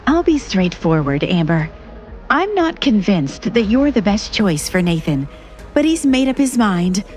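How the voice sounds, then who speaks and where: A woman's voice: mid-range voice, very animated, a little slow, a little mellow, soft background music. An actress playing a domineering, scheming fashion-industry woman who talks in a curt, haughty, commanding way. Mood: cold, scheming.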